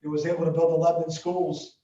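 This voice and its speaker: A man from Ohio representing a construction firm, calmly answering questions at a school board meeting. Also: a little high, fast talker. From Ohio, USA. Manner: steady, businesslike explaining. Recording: muffled distant audio in an echoey room.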